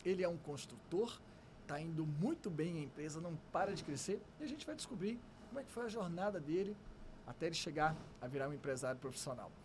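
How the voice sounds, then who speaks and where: A man's voice: a little high, sing-song, fast talker, background noise. A man with a Brazilian accent hosting a podcast, introducing and questioning his guest. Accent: Brazilian Portuguese. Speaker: podcast host, business mentor. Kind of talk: podcast interviewing.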